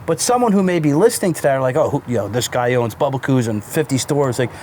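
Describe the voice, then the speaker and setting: A man's voice: mid-range voice, animated, fast, a little mellow, a little hiss or hum. Chatty male interview host. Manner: conversational, agreeing often, asking long questions. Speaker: podcast host. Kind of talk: casual fireside interview. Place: outdoors by a fire pit.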